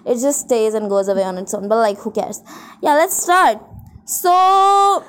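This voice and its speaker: A woman's voice: fairly high pitch, lively intonation, measured pace, clear, resonant tone. A young adult woman with an Indian accent, co-hosting a podcast in a casual, rambling way, with a self-mocking, dramatic, irreverent manner. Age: young adult, college student. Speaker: podcast co-host.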